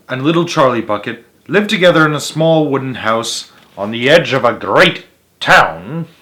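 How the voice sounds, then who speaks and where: A man's voice: mid-range voice, bright, forceful tone. Man reading a children's story theatrically. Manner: theatrical, emphatic, with dramatic pauses and stretched words. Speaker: host of a read-aloud story series. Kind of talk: children's storybook read-aloud narration.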